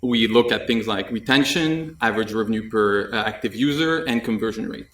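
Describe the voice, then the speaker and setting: A man's voice: fairly low pitch, fairly quick. Young man presenting in an echoey room. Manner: relaxed and chatty, with frequent "uh" fillers and light jokes. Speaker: conference presenter. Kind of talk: technical conference talk. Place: conference room with audience, indoors. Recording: echoey room, mic at a distance.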